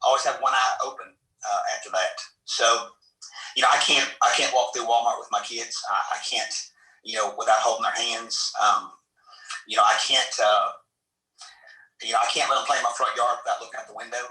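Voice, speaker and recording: A man speaking in a halting, earnest way as a guest in a live audio chat room, often repeating himself. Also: mid-range voice, steady tone, sharp tone. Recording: roomy, distant mic.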